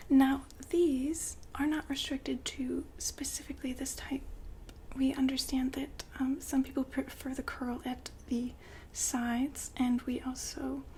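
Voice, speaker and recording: A woman whispering softly and breathily in an ASMR roleplay, playing a gentle, attentive shop consultant. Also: sits high. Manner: gentle, attentive customer-service patter. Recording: faint background noise and ambience.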